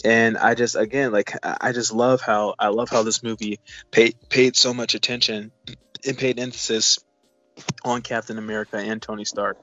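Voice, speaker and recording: A man co-hosting a casual, enthusiastic movie review, earnest and halting as he repeats phrases. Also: lowish voice, level tone, pushed and bright, no room sound. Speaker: co-host of a film review show. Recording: dull lo-fi sound.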